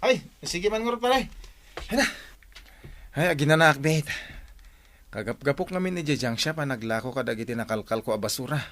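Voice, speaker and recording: A man's voice: on the high side, expressive, normal speed, long pauses, fairly bright tone, slight background noise. A man playing a humble, devout village scrap collector in an Ilocano comedy radio drama, with an Ilocano accent. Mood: friendly.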